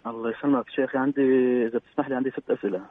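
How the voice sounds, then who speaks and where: A man comes through a thin, phone-like line with a dry sound. He talks quickly and politely, stumbling over his words and filling the gaps with "uh". His pitch sits in the middle range. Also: breathy edge, dry recording. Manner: polite, hesitant, many fillers. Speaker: caller. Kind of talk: phone-in question. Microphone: telephone.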